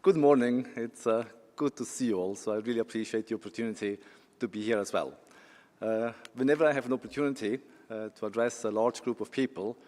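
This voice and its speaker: A man's voice: medium pitch, brisk, a little mellow. A man with a Swiss accent giving a corporate presentation as an insurance executive, with some dry humor and a few fillers. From Switzerland. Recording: long hall reverb.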